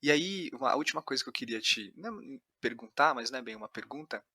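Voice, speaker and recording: A man's voice: mid-range voice, animated, fast, sharp tone, husky, no room sound, studio quality. A man with a Brazilian accent hosting a conversational interview, reflecting aloud and rephrasing as he goes. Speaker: podcast host, interviewer.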